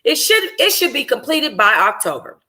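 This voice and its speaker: Woman doing a book club read-aloud, really bright forward voice, expressive and chatty, slangy. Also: high voice, moderate pace. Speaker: book club host reading a novel aloud. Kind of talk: informal audiobook-style reading of a first-person novel.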